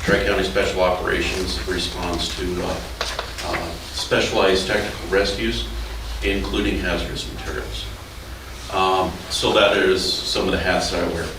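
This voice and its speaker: A man speaking as a fire chief, giving a plain, measured public comment at a county hearing. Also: sits low, little pitch movement. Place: county meeting room. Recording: echoey room, distant mic, noisy.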